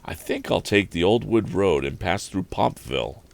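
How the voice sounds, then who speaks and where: A man's voice: low-pitched, animated, normal speed, gravelly. A man voicing an earnest, polite young inventor from a storybook, well-spoken and conversational. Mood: musing.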